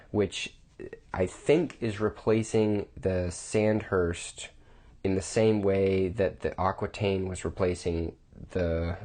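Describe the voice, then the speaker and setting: A man's voice: sits low, darkish tone, husky. A man reviewing watches casually, thinking aloud with restarts, his voice a bit off from a cold.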